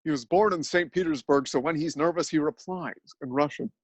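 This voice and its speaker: A man narrating a scripted dramatic reading, telling absurd events in an earnest, deadpan way. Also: a little high, fairly quick, no echo.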